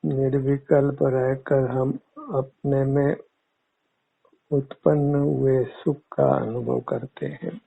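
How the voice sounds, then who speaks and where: A man teaching guided meditation in measured, formal, instructive words. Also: mid-range voice, flat delivery, creaky, slightly rough. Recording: muffled phone-like narrow-band audio.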